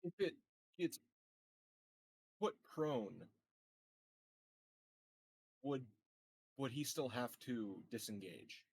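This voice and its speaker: A man playing a tabletop game, asking casual questions in a tentative, halting way. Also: medium pitch, very animated, slightly rough. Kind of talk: casual chat.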